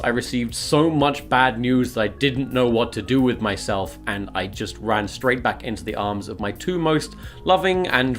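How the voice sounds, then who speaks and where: Self-deprecating man with music behind him. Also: medium pitch. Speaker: video creator documenting his weight loss. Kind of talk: confessional vlog, partly scripted narration.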